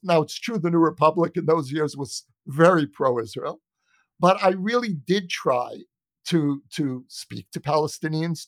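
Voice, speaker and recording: Guy from the us being interviewed, bit higher voice, earnest and emphatic, repeats himself, really dry sound. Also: vocal fry, no room sound. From United States. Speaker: interview guest, journalist and author. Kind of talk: earnest conversational argument.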